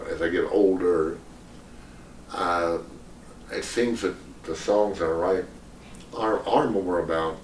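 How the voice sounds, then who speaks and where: An older man speaks slowly and haltingly at a middling pitch, in a reflective, rambling interview style. His voice is picked up from a distance in an echoey room. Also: slight background noise. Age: older, 70s or above. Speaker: singer-songwriter guest. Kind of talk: reflective, unscripted talk in an interview.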